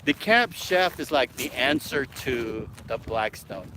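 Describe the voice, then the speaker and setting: A middle-aged man from Alaska hosting a casual live-stream vlog, excitable and chatty with lots of fillers, filmed outdoors on a handheld camera. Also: mid-range voice, slow pace, background noise. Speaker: vlog host.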